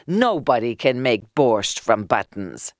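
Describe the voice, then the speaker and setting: A woman's voice: on the low side, sing-song, a little slow, smooth, dry recording, clean studio sound. A woman voicing a grumpy, sarcastic male tailor in a storybook tale, with a scoffing manner. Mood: scornful. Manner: scoffing, rhetorical questioning.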